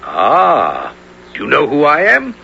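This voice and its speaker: An actor playing a hearty outlaw leader with declamatory delivery in an old-time radio drama. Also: male voice, on the high side, wildly swooping pitch, slow, creaky, sharp tone, full character voice. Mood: hearty, admiring. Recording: dull, noisy radio recording.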